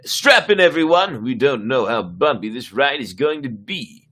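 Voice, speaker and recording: A man's voice: mid-range voice, lively intonation, normal speed, fairly bright tone. A man playing a cocky, bossy, sarcastic spaceship captain in a sci-fi story reading, brash and commanding. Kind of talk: dramatic reading of sci-fi script.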